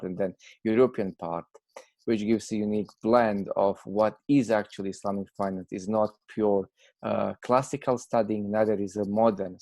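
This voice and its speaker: A man's voice: lowish voice, darkish tone, no echo. A man in his thirties with a Bosnian accent, giving explanatory answers as an academic in an interview. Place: indoors, video call.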